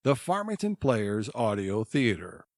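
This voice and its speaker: A man's voice: on the low side, very animated, unhurried, no echo, studio quality. A man narrating a scripted introduction as the announcer of an audio theater play.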